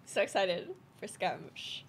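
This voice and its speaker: A young adult woman, a game streamer, giving a gushing, excitable live reaction in casual chat. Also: medium pitch, normal speed, a little hiss or hum. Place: small indoor streaming room. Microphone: desk boom microphone, headphones on. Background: game trailer music.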